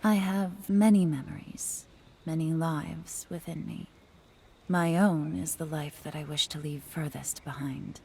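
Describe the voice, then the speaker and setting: A pensive, sorrowful fox spirit from a fantasy game. Her voice is low and dusky, with a creaky edge. She speaks softly and breathily, measuring each wistful phrase. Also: steady tone, unhurried, a little hiss or hum. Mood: wistful, tender. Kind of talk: cinematic game acting.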